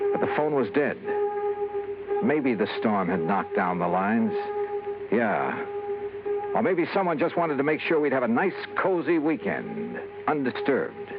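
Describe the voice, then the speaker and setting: Hard-boiled American investigator, clipped, animated, old radio drama, muffled sound, loud music. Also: male voice, medium pitch, moderate pace. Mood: hard-boiled, wry. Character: sharp, wry freelance insurance investigator. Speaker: lead actor and narrator. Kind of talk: 1950s radio noir acting, clipped narration.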